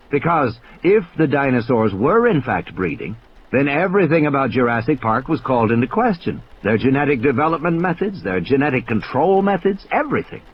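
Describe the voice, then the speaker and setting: A man's voice: mid-range voice, muffled recording, a little hiss or hum. A man narrating a novel in a theatrical, suspenseful storytelling style over background sound effects. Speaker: audiobook narrator. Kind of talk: scripted dramatic novel narration.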